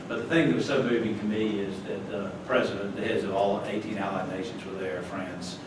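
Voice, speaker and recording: A man's voice: fairly low pitch, steady tone, fairly quick. An older man from North Carolina, giving a chatty, folksy slide talk to a club with chuckling asides. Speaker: club guest speaker. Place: meeting hall. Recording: echoey room from far off, noisy.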